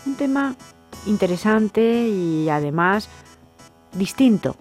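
A woman's voice: mid-range voice, expressive, slow, a little mellow, faint music behind. A woman hosting an interview in a university studio, articulate and welcoming, asking long flowing questions. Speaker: radio interview host. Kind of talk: radio interview.

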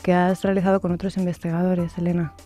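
A woman's voice: lowish voice, little pitch movement, fast talker, mellow, soft background music. A woman interviewing a guest on the radio, asking brief, prompting questions. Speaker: interviewer, anthropology tutor.

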